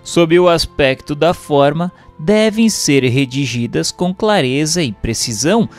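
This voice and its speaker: A male narrator delivers a formal, didactic reading in measured phrases. His voice sits a little high and moves with lively, varied intonation. Soft music plays behind him. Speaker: narrator reading a spiritist text. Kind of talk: scripted narration, audiobook-like reading.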